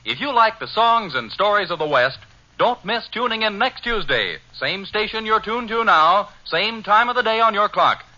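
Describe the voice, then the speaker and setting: A man's voice: sits high, animated, normal speed, sharp tone. A man working as announcer on a scripted 1940s radio show. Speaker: announcer and sidekick. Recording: dull 1940s radio recording, music behind.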